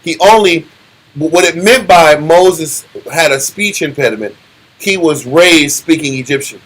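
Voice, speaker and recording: A middle-aged man leading a Bible study, teaching in an animated, preachy way and often repeating himself. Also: a little high, a little slow, poor audio.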